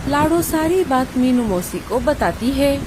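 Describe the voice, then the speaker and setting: A woman's voice: high voice, animated, a little slow, background noise. A woman reading scripted narration for a children's cartoon story.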